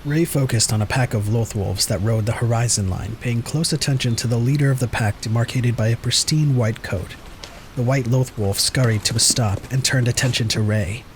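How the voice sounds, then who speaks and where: A man's voice: medium pitch, normal speed, a little hiss or hum, poor audio. A man reading scripted dramatic narration as the narrator of an audio story.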